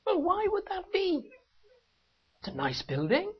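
Welsh preacher with a muffled sound. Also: male voice, wildly swooping pitch, measured pace. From Wales. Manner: conversational, rhetorical questions, rises sharply in pitch for emphasis. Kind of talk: sermon with opening prayer. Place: church hall.

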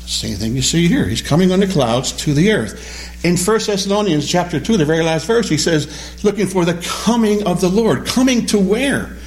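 A man teaching a Bible study class, animated and questioning, repeating key words as he explains them. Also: sits high, moderate pace. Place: classroom or church hall with a small group.

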